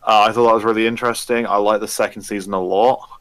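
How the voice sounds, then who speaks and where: A man's voice: fairly low pitch, steady tone, fast talker, a bit bright, clean tone, no echo. A man giving his opinions in casual podcast chat as one of the panelists.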